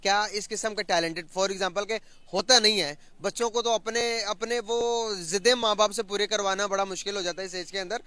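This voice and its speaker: A man interviewing people, asking animated, leading questions. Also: high-pitched, a little fast, hardly any pauses, dry recording. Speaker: street interviewer. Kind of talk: reaction interview.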